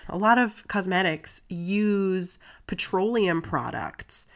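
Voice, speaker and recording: A woman's voice: mid-range voice, slow. A woman with a stuffy, congested voice from a cold, hosting a solo podcast in a chatty, earnest way, with asides between facts. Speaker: podcast host.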